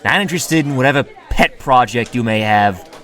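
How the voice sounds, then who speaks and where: An actor playing an irritable, jumpy lone-wolf detective in a 1920s radio drama, curt and prone to stammering. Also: male voice, medium pitch, expressive, normal speed, a bit bright, close to an everyday voice. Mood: irritated.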